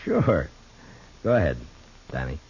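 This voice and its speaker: An actor playing a firm, patient frontier marshal with an American accent in a 1950s radio Western, authoritative, dry and measured. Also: male voice, very deep voice, huge pitch swings, vocal fry, a little mellow, slightly rough, full character voice. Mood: patient, easing. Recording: muffled, hissy 1950s radio recording.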